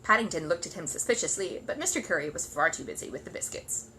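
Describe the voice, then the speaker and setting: Bright, lively woman reading a storybook. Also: lowish voice, fast, a little hiss or hum, rough recording. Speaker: children's story reader. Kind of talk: animated storybook narration.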